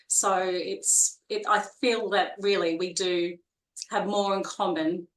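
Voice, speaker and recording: A woman's voice: mid-range voice, fairly even intonation, slow pace, roomy sound, poor audio. A woman in her forties with a strong Australian accent, a nurse chatting as an interview guest, talking freely with many fillers and restarts. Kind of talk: casual interview conversation.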